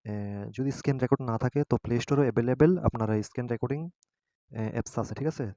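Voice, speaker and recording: A man with a mellow, relaxed voice at a middling pitch walks through an app step by step. The sound is dry and close. He talks informally and haltingly, often pausing to seek agreement. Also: no room sound. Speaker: tutorial host.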